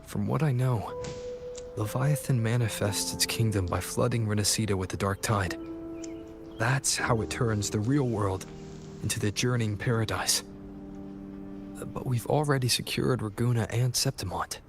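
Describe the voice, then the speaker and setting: A man playing a calm, thoughtful young male hero in a video game, with an earnest delivery. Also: lowish voice, normal speed, over music, lightly acted. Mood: pensive.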